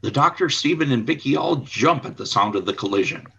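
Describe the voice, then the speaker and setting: A man narrating a scripted radio play as its announcer, in a dramatic, measured storytelling manner. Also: mid-range voice, animated, creaky, sharp tone, breathy edge, lo-fi sound.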